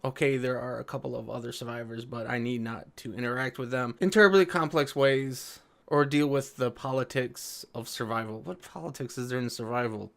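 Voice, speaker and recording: A man with a slightly high voice gives casual, off-the-cuff commentary as a video host. He sounds sarcastic and argumentative, breaking away from reading aloud to make his own pointed remarks. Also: fairly bright tone.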